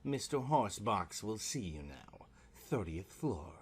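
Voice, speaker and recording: A man's voice: fairly low pitch, big pitch swings, a little slow, husky, pitched below the performer's own voice, exaggerated voice. Man doing a cold, sneering woman receptionist. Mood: cold, haughty. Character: severe, intimidating, condescending woman receptionist.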